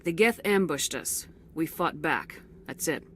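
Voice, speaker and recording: An actress playing a blunt, no-nonsense military commander in a video game, terse, firm and direct. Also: female voice, deep voice, expressive, a little slow, a bit bright, a little hiss or hum. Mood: terse, businesslike. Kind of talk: scripted video game acting.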